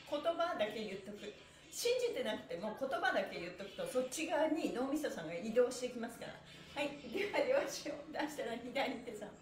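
High-pitched cheerful woman leading stretches. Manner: cheerful, encouraging, playful, often laughing. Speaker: stretch class instructor and host. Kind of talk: live-streamed exercise lesson with rhythmic chanted counting. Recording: echoey room sound.

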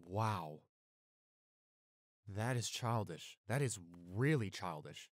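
A man's voice: fairly low pitch, lively intonation, takes it slowly, no room sound. Man acting protective young boyfriend, dry close sound. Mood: gentle, reassuring. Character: protective, tender young boyfriend, fierce toward bullies. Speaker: audio roleplay voice actor. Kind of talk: intimate boyfriend audio roleplay acting. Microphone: close studio microphone.

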